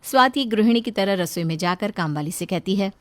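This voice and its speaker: A woman's voice: mid-range voice, animated, a little fast, no echo. A woman narrating a scripted story.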